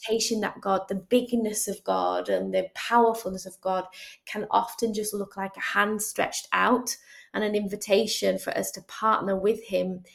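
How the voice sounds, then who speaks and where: A woman's voice: mid-range voice, lively intonation, normal speed, vocal fry. A woman guest speaking earnestly about faith and healing, often restarting phrases as she thinks aloud. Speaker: guest, charity chief executive. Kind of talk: reflective faith conversation.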